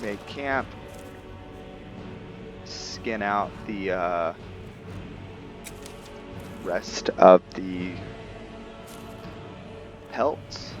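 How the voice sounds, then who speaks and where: A man giving casual commentary as he plays a roleplaying game, thinking aloud in a halting way. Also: low-pitched, music in the background. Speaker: gameplay host.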